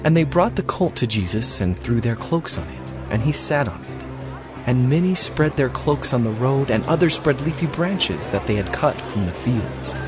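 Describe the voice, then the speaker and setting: Male narrator, medium pitch, animated intonation, scripted scripture storytelling, faint music underneath. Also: moderate pace.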